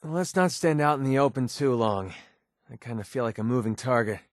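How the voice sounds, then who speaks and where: A male actor plays a young mercenary companion in a video game, cynical and quick to wisecrack. His voice sits at a medium pitch with a colloquial, sardonic edge that leaves room for a softer side. The recording is dry and free of room sound. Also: lively intonation, dry recording, lightly acted. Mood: amused, cautious. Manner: wry, sarcastic, colloquial delivery.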